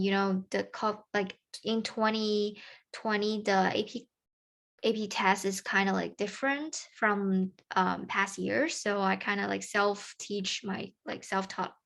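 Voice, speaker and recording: A teenage girl from China, speaking English with a Mandarin Chinese accent, giving a casual, halting presentation to her peers, full of fillers and restarts. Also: mid-range voice, fairly even intonation, creaky, fairly bright tone. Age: teens. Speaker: student presenter.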